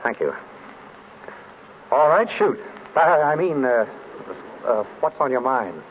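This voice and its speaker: A man's voice: mid-range voice, sing-song, slow pace, a little hiss or hum. Nonchalant male sleuth, dry wit, swooping delivery, 1930s radio drama, muffled recording. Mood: wry, nonchalant. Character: suave, wry, unflappable married detective.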